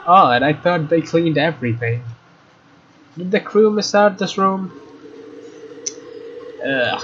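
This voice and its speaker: A man with a slightly high voice reads a visual novel aloud as a let's player. He is casual and expressive, slipping in informal asides. The microphone sounds a little dull, with faint noise behind him.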